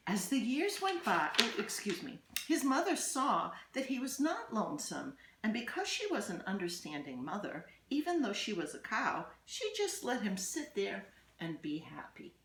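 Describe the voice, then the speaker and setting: A woman reads a children's picture book aloud in an echoey room. Her delivery is deliberate and expressive, with a lively swing in pitch and pauses between phrases. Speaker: storybook reader, teacher.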